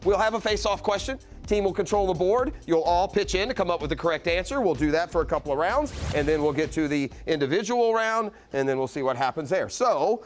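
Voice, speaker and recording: A man's voice: high voice, expressive, brisk, hardly any pauses, soft background music. A man hosting a game show with upbeat banter.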